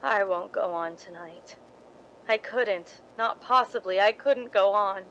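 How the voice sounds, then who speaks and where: A woman acting a slow, theatrical ambitious young actress. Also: medium pitch, fairly bright tone, lo-fi sound, slight background noise, close to an everyday voice. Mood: defeated. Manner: theatrical, deliberate, dramatic delivery. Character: scheming ambitious young stage actress, defensive yet graciously poised. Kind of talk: 1950s radio drama acting.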